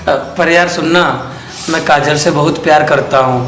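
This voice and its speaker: A man's voice: on the high side, steady tone, normal speed, natural voice. A man playing a naive, lovesick young friend in a comedy skit, with a slightly whiny manner. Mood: eager.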